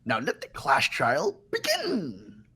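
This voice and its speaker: A man's voice: on the high side, wildly swooping pitch, takes it slowly, pushed and bright, husky, exaggerated voice. A man voicing a menacing, theatrical mascot bear running a deadly trial in a video game. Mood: mock cheerful.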